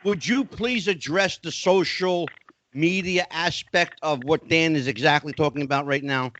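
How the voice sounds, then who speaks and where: A man hosting a podcast panel who talks excitably and keeps jumping in with questions. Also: a little high, moderate pace, lo-fi sound, a little hiss or hum. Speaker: podcast host. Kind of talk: casual podcast panel chat.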